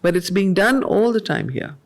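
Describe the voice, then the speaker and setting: A woman leading a study circle, reading poetry aloud in a slow, measured way and repeating lines to explain them. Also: medium pitch, animated. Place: meeting room of a study group.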